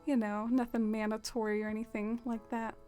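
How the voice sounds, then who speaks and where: A chatty young woman with a Southern accent rambles and giggles at herself as she streams a game. Her voice sits a little high, and she fills her talk with lots of "like." Soft game music plays faintly behind her. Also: level tone, brisk. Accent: Southern American. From American South. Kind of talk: casual live-stream chat while gaming.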